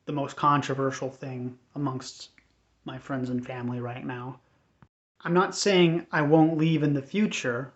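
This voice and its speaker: An American man giving an unscripted personal update in a measured, earnest, hesitant way. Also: mid-range voice. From United States. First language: English. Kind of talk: unscripted personal talk to camera. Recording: dull, lo-fi sound.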